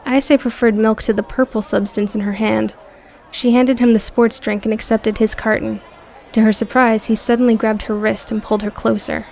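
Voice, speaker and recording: A woman's voice: on the high side, little pitch movement, moderate pace, a little mellow, some chatter behind, rough recording. A woman reading scripted audio book narration as the narrator.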